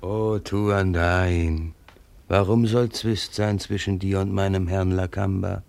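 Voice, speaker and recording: Radio drama: wily old Malay adviser, low gravelly voice, deferential and persuasive. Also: male voice, steady tone, takes it slowly, darkish tone, no room sound, heavily acted. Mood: cunning, persuasive. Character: shrewd old Malay statesman, deferential adviser to his lord. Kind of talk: German radio drama acting.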